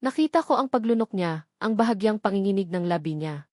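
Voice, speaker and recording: Female romance narrator, Filipino accent, mid-pitched, emotive dramatic storytelling, dry recording. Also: lively intonation, a little fast, darkish tone, no echo.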